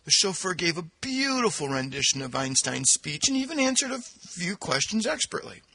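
A man co-hosting a casual podcast, giggling and telling jokes. His voice is gravelly and bright, pitched a little high, and the sound is dry and close. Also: sing-song, moderate pace, no echo. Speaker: podcast co-host.

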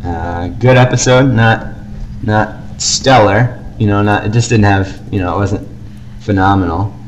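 Lowish-voiced man chatting over background noise. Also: steady tone, a little slow, lo-fi sound. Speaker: podcast co-host. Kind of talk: casual review discussion.

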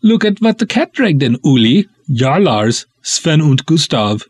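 Sneering, high-lifted cop. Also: male voice, sing-song, unhurried, a little mellow, no echo. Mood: mocking. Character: sneering, lazy Danish male cop duo, mocking.

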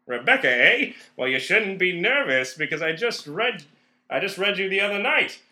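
A man plays a brash young stage comedian hungry for fame in a radio comedy. His pitch is high and leaps around, with cocky, teasing delivery and an audible room echo. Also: very animated, normal speed, pushed and bright, full character voice. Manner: cocky, punning stage patter. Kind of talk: old-time radio comedy acting. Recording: roomy, echoing recording.

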